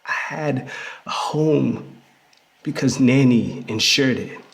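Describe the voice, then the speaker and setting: An actor playing a reflective man in a theatrical first-person memoir monologue, telling it conversationally with emphasis and pauses. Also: mid-range voice, creaky. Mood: earnest, impassioned. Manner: conversational, emphatic storytelling with pauses and repeated words. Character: reflective queer man fondly recalling his hustling grandmother. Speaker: actor in a dramatic reading of a memoir. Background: music.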